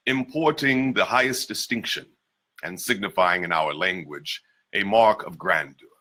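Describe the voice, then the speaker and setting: A dignified memoirist recalls his birthplace and his father's rank, read by a man. The voice sits very low but has a bright, forceful edge, and the delivery is measured and formal. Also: exaggerated voice. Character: man recounting his African childhood, dignified. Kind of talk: dramatic reading of an 18th-century memoir.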